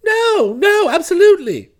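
A man voicing a young upper-class English gentleman in a storybook, flustered, evasive and excitable. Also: very high-pitched, a little slow, voice pushed up, full character voice. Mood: bewildered, protesting. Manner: excitable, stammering protests.

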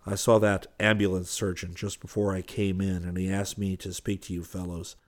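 A man narrator voices an earnest, short-tempered artist in a wartime storybook. The voice is low, with a brisk, urgent and commanding manner. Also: level tone, natural voice. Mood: low, serious.

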